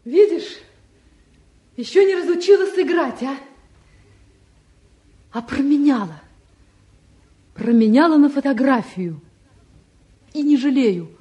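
An actress playing a devoted, wistful young woman in a 1950s Russian stage drama, with a gentle, expressive, self-deprecating way of talking. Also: high voice, takes it slowly, pauses often. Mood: wistful, tender. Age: young adult.

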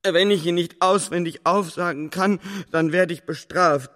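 An actor playing a frightened, broken boy king in a radio play, halting, pleading and repeating himself obsessively. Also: high-pitched, steady tone, a bit bright. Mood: obsessive reciting. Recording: long reverberant hall.

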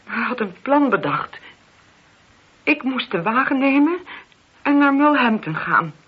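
An actress in a radio play voicing a distressed married woman who speaks haltingly as she confesses to a police inspector. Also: a little high, big pitch swings, a little hiss or hum. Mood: shaken, confessing. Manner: hesitant, halting, repeating words in distress. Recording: muffled, lo-fi radio drama recording.

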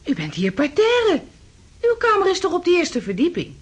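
A woman's voice: very high voice, wildly swooping pitch, normal speed, soft background music, full character voice. An actress in a radio play playing an effusive, helpful landlady with a gushing, singsong manner. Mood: amused, helpful. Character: amused, effusive, helpful female landlady type.

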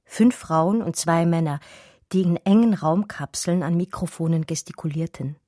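An actress playing a romantic, daydreaming art historian, narrating her memories in measured, intimate, literary radio drama style. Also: fairly low pitch, warm tone, dry recording, studio quality, lightly acted. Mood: fascinated.

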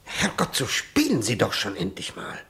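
A man playing a highly strung, impatient doctor gripped by chess mania in a radio drama, agitated and repeating himself. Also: wildly swooping pitch, moderate pace, vocal fry, pushed and bright, full character voice. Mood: impatient, scornful. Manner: agitated, stammering, repeating words.

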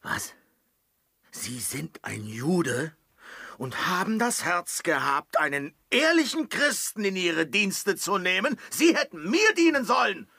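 Raspy, blustering comic manservant. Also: male voice, sits high, very animated, takes it slowly, bright, forceful tone, no room sound, clean studio sound, heavily acted. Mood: stunned, indignant. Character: indignant, cheeky, mercenary manservant, comic and blustering. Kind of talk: German radio drama comic acting.

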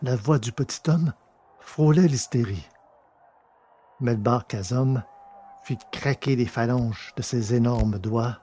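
A man reading scripted adventure fiction as a dramatic audiobook narrator, his voice slightly husky. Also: medium pitch, takes it slowly, long pauses, warm tone.

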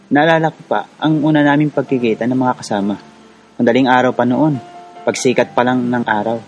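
A man's voice: medium pitch, a little fast, darkish tone, highs cut off, soft background music, natural voice. A man with a Filipino accent playing an earnest, curious, polite young villager in a radio drama. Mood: reflective, earnest. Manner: respectful, polite. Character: earnest, curious, polite poor rural young man recounting his past. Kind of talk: radio drama acting and narration in Tagalog.

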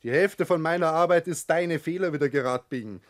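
A rebellious young Bavarian man played by a male actor in a sixties radio drama, pitched a little high. He is the builder's ambitious son, arguing bluntly and full of reproach. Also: brisk, lightly acted. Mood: accusing. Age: young adult. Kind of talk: 1960s German radio drama acting.

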